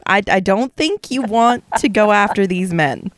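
Smooth-voiced woman, chatty podcast host. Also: medium pitch, animated, a little slow, dry recording. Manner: chatty storyteller, recounting a case with asides. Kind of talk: casual true-crime podcast chat.

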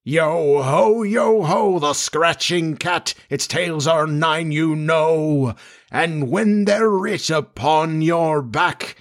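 A man's voice: a little high, unhurried, hardly any pauses, bright, forceful tone, husky, pitched above the performer's own voice, full character voice. A menacing pirate captain from a storybook, acted out by a male narrator. He is brazen and commanding, with a mocking courtesy. His voice is lifted and theatrical. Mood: brazen, gloating. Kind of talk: audiobook character voice.

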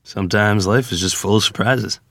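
A man's voice: on the low side, normal speed, creaky, a bit bright, dry recording, studio quality. A man acting genial, wry men in a dramatic script reading of a period story. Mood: dryly teasing. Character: genial jazzman or wry rural ferryman father. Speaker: actor at a screenplay table read.

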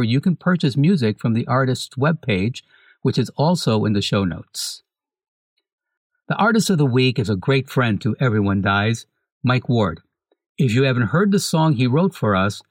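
A man reads a scripted podcast segment in a measured, even way. His voice sits at an ordinary middle pitch. It is recorded close and dry, with no room sound. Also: no room sound. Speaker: podcast host.

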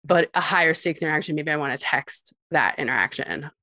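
A woman's voice: low-pitched, expressive, brisk, pushed and bright, dry recording, clean studio sound. A woman guest giving long explanatory interview answers about technology, often with a light laugh.

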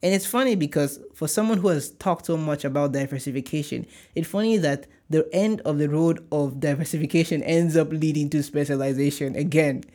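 A man's voice: fairly high pitch, a little fast, few pauses, mellow. A young man from Nigeria with a light Nigerian accent, hosting a casual solo podcast in an upbeat, chatty, rambling way. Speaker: podcast host.

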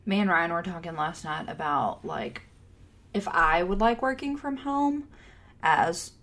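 A woman's voice: lowish voice, a little slow, fairly bright tone. A young woman co-hosting a casual chat podcast, chatty and self-deprecating, with lots of "like" in her speech. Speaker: podcast co-host.

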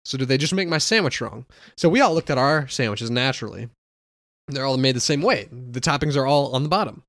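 A man's voice: mid-range voice, expressive, a little fast, fairly bright tone, dry recording, studio quality. A young man co-hosting a podcast in casual chat, rambling and laughing often. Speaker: podcast co-host.

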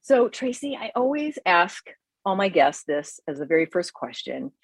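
A woman's voice: fairly low pitch, very animated, moderate pace, breathy edge, no echo. A woman hosting a podcast, introducing her guest and asking interview questions.

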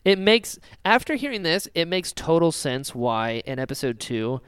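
A man's voice: fairly high pitch, normal speed, clear, resonant tone, no room sound, clean studio sound. A man hosting a podcast, chatting casually and enthusiastically as a fan. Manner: enthusiastic, animated. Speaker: podcast host.

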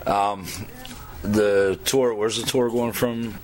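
A man's voice: on the low side, fairly even intonation, slow, a little mellow. A man interviewing a guest in a casual, informal and chummy way. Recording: clear background noise, clicks.

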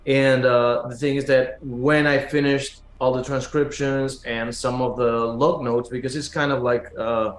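Argentine-accented male musician, native Spanish speaker, halting conversational interview guest, mid-range voice, roomy echo. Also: mid-range voice, flat delivery. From Argentina, raised in Buenos Aires. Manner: halting, repeats words as they search for phrasing. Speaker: musician guest, pianist and teacher. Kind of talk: conversational interview answers, telling stories. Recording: echoey room sound.

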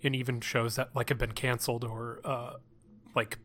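A man's voice: medium pitch, little pitch movement, normal speed, fairly bright tone. A man co-hosting a podcast in casual comedic chat, with a nasal voice congested as if by a cold. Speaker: podcast co-host.

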